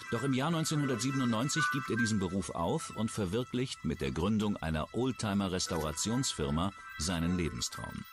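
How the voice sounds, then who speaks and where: Man, deep voice, scripted true-crime narration, loud music underneath. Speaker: documentary narrator. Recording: music behind the voice. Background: loud background music.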